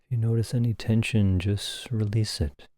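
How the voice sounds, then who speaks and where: A man leading a guided meditation as a teacher, speaking calmly and gently in short phrases with long pauses. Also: fairly low pitch, no room sound, clean studio sound.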